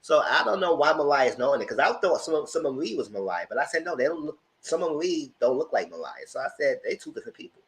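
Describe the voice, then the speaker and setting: A man's voice: fairly high pitch, very animated, fast talker, few pauses, a bit bright, poor audio. A man co-hosting a casual reality TV review, talking animatedly and acting out the cast members he quotes. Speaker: podcast co-host.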